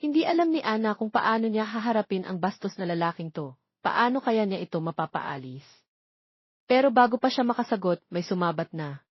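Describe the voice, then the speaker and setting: A woman's voice: medium pitch, lively intonation, brisk, slightly rough, dry recording, lo-fi sound. A woman reading a scripted romance story as an audiobook narrator.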